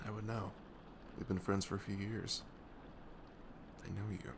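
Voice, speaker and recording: Man acting as caring young male best friend, low voice, expressive swings in pitch, soft and earnest, ASMR roleplay, noisy recording. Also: heavily acted. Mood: tender, soothing. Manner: soft-spoken, often breathy, hesitant, earnest. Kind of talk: ASMR roleplay acting, intimate casual talk.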